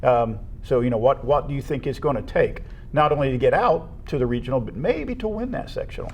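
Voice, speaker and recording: A man's voice: medium pitch, lively intonation, fairly quick. An American man co-hosting a school sports show, talking through results in a conversational, matter-of-fact, analytical way. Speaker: co-host of a school athletics show.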